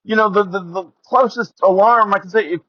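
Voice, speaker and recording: A man with a slightly high voice hosts a casual podcast. He talks informally and haltingly, repeating words as he goes. The sound is close and dry, recorded in a car. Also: no echo, dull audio. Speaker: podcast host. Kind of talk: casual solo podcast monologue and review. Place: inside a car during rain. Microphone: headset or earphone mic.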